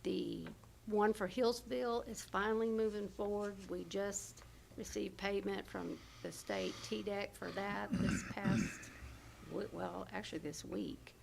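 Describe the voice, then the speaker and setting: A hoarse woman presenting health department budget figures at a county committee meeting, with many fillers and self-corrections. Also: mid-range voice, fairly even intonation, unhurried, noisy background. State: hoarse, losing her voice. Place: county government meeting room.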